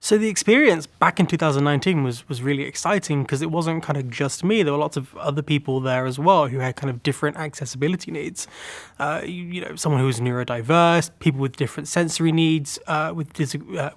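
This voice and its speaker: An earnest man answers interview questions thoughtfully, hedging his points as he goes. His voice sits fairly high for a man. The sound is dry and close, with no room around it. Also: quick pace, dry recording. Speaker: accessibility consultant and teacher of the deaf.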